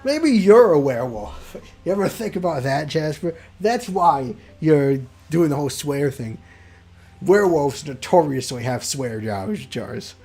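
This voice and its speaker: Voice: mid-range voice, expressive, unhurried, warm tone, breathy edge. A streamer playing a visual novel, reading it aloud with goofy, joking asides.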